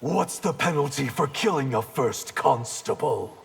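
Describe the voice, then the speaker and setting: An actor playing a cold, defiant ninja clan leader in a video game, haughty and menacing. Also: male voice, medium pitch, lively intonation, a little slow, creaky, slightly rough, full character voice. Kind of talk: video game character dialogue.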